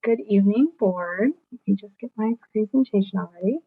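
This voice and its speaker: Soft-voiced woman planner on a muffled call. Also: mid-range voice, husky, no room sound. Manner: measured, formal. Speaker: city planner. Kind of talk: presenting a staff report. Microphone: video call. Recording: muffled video call audio.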